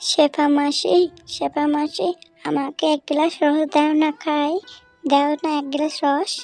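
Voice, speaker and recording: A performer voicing an excitable cartoon animal villager, speaking in exclamations and repeating phrases. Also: high-pitched, unhurried, creaky. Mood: cheerful, calling out. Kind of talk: Bengali cartoon story dialogue. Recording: music behind the voice.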